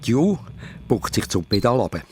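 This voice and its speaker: A man's voice: medium pitch, very animated, moderate pace, darkish tone, a little hiss or hum, natural voice. A man with a Swiss accent narrating a children's radio play as a dramatic storyteller. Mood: suspenseful, animated. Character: lively storyteller of a children's adventure.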